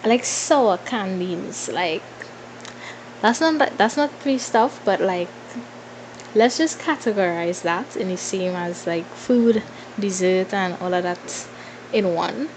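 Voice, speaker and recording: Female vlogger, twenties, Trinidadian accent. Slow, informal Q&A chat with playful asides, some background noise. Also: mid-range voice, poor audio. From Trinidad and Tobago. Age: 20s. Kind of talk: casual Q&A chat to followers.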